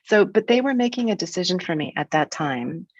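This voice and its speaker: A woman's voice: on the low side, lively intonation, brisk, dry recording, lo-fi sound. A woman in her sixties from the United States, a guest speaker in an academic webinar, talking in a frank, reflective way with some restarts.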